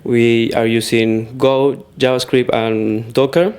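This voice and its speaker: A man in his thirties from Argentina with an Argentine Spanish accent, answering interview questions about his work in a steady, measured way into a handheld mic in an office lounge. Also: mid-range voice. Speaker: interviewed software engineer. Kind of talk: casual interview. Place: office lounge with wood-panelled wall. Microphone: handheld mic held out by interviewer.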